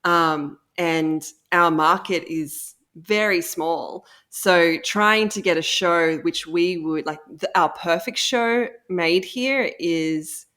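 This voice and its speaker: A woman's voice: lowish voice, slow pace, few pauses, fairly bright tone. A woman chatting casually as a guest in an interview. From Australia. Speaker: podcast guest, audio drama co-creator. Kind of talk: casual chat.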